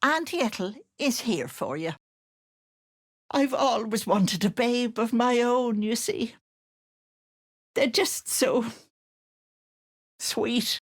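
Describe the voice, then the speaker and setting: An actress playing a sly old hag who poses as a sweet auntie in a video game, cooing and wheedling with menace underneath. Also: female voice, fairly high pitch, slow pace, pauses often. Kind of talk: theatrical video game character acting.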